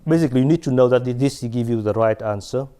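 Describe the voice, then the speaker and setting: Male math lecturer, middle-aged, mellow voice, halting delivery with restarts, lapel mic in a big lecture hall. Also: mid-range voice, smooth. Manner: halting, many restarts and fillers, asks "right?". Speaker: mathematics lecturer. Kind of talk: chalkboard lecture. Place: large lecture hall at a chalkboard.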